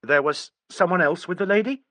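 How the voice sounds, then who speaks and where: A man voicing a reluctant, awkward young gentleman trying to coax a child. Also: high voice, a little fast, no echo, pitched above the performer's own voice. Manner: awkward, coaxing.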